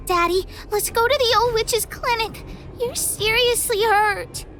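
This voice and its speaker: Very high, feisty little girl. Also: a little slow, fairly bright tone, faint music behind. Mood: concerned. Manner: childish, speaks of herself in third person. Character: feisty, stubborn little girl who calls herself boss. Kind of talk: video game voice acting.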